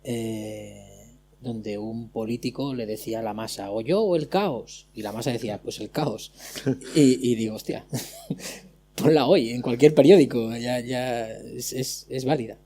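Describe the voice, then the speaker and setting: Man, mellow voice, panel talk, jokey, occasional stumbles. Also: mid-range voice, a little slow, slightly rough. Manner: jokey, stumbles over words. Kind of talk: casual panel discussion.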